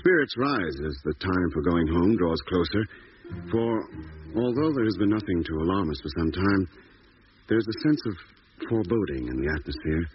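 A man acting in a 1950s radio drama as an earnest ship's doctor and diarist on a space expedition. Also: deep voice. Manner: measured, grave diary narration and earnest dialogue. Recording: muffled, hissy 1950s radio recording.

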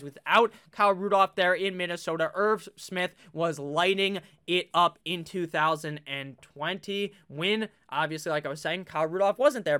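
Chatty young man, bright slightly nasal voice. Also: high-pitched, clean tone. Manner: swears freely, chatty and animated. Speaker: fantasy football YouTuber. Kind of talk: casual webcam talk while drafting. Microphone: webcam setup.

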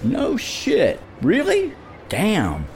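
An actor playing a frustrated, easily impressed husband in an audio drama thriller, casual and open. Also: male voice, high-pitched, wildly swooping pitch, extremely slow, noisy background, heavily acted. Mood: impressed, amused. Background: music.

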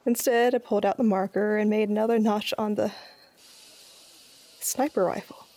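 A woman's voice: mid-range voice, fairly even intonation, moderate pace, mellow, dry recording, close to an everyday voice. Woman acting a guarded, stubborn young sniper, close-sounding. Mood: subdued. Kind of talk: audio drama narration and dialogue.

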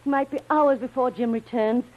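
A woman's voice: high voice, steady tone, brisk, natural voice. A woman playing a plucky, indignant heroine in a radio adventure serial, with dramatic, earnest delivery. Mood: brave, earnest. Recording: dull lo-fi old radio recording.

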